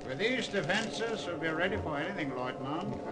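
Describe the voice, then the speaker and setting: An actor playing a menacing, imperious German army officer in an old-time radio drama, with a German accent and a clipped, commanding manner. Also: male voice, high voice, animated, fairly quick, crowd noise. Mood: wary, commanding. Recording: noisy vintage radio drama recording.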